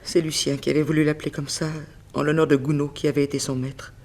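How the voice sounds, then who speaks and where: A woman's voice: low voice, level tone, fast talker, darkish tone. An actress playing a grieving middle-aged mother, a former seamstress, in a plaintive, dramatic 1960s French radio mystery style. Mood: wistful, tender.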